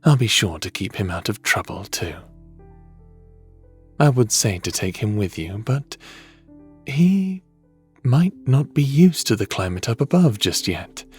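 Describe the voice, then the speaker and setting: An actor playing a calm, affectionate underworld god in an ASMR fantasy roleplay, talking in a measured, gently teasing way to the listener. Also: male voice, fairly low pitch, husky, faint music behind, full character voice. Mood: reassuring, fond. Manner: measured, softly teasing, addresses listener as little one.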